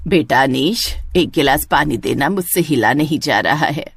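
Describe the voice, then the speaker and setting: A woman's voice: low voice, animated, a little slow, gravelly, slightly rough, dry recording, pitched below the performer's own voice, heavily acted. A woman voicing a weary, ailing old mother who speaks plaintively and sounds hurt, in a family story. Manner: plaintive, lamenting.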